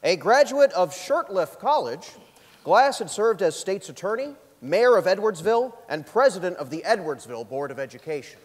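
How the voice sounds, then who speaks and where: A man's voice: high-pitched, big pitch swings, moderate pace. A man delivering scripted narration as part of a dramatic stage reading. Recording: echoey theatre stage, long reverb.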